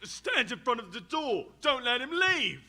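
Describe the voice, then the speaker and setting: Man as an excitable townsman, very high-pitched. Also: unhurried, heavily acted. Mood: excited. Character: suspicious, bossy townsman, excitable and aggrieved. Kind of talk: radio-style stage audio drama acting.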